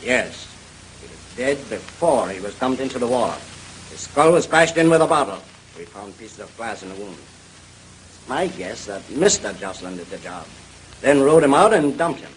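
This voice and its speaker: A man's voice: mid-range voice, very animated, normal speed, lightly acted. An actor playing a blunt, authoritative male Coast Guard captain in an old radio mystery. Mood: grim, accusing. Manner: authoritative, declarative. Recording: noisy old radio drama recording.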